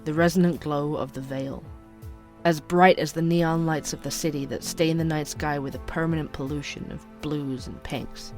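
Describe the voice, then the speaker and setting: A man's voice: on the high side, fairly even intonation, moderate pace, darkish tone, faint music behind, close to an everyday voice. An actor playing an earnest, curious young man who hosts an amateur podcast, narrating an audio drama in a musing way. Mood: brooding, poetic.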